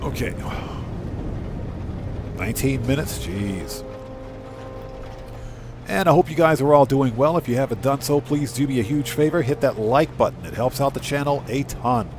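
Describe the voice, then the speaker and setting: A man's voice: medium pitch, animated, normal speed, long pauses, noisy background. A man doing casual live game commentary, talking informally to his viewers and repeating phrases for emphasis. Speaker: live streamer and channel host. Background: music.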